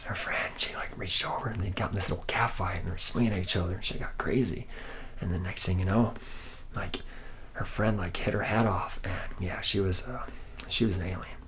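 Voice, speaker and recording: A man's voice: sits low, steady tone, a little fast, fairly bright tone. A man playing a laid-back, boozy womanizing factory worker in an ASMR roleplay, talking in a casual, slangy ramble. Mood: boastful, amused.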